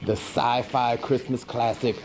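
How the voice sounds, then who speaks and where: A man's voice: mid-range voice, little pitch movement, unhurried, darkish tone, lightly acted. A man playing a goofy monster who hosts a horror movie show, rambling in slangy, exasperated comic commentary. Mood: apologetic, grumbling. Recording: noisy 1980s VHS TV tape.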